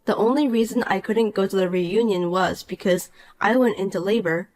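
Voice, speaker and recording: A woman voicing a calm, cool married young woman in a dramatized story, dry and patient. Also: mid-range voice, moderate pace, natural voice.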